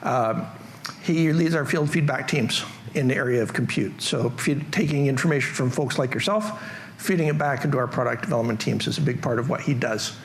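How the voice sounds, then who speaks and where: A man's voice: mid-range voice, brisk, slight background noise. A middle-aged man with a Canadian accent giving a casual, joking tech conference talk in a large hall. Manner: casual, joking, self-deprecating. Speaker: conference presenter.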